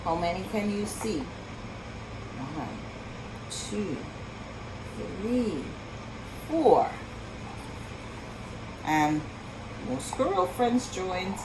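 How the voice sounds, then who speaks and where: A woman reading a story aloud for young children in an expressive, sing-song way, pausing to ask her listeners questions. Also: mid-range voice, background noise. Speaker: children's storytime reader and teacher.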